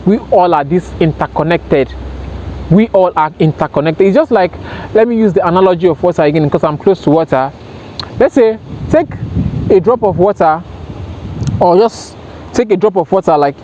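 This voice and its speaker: A man's voice: high voice, a little mellow. A man speaking earnestly and emphatically in an impromptu motivational talk to camera, often repeating himself for stress. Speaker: self-help vlogger. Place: outdoors by water, sitting on a rail. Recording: dull lo-fi sound, outdoor noise.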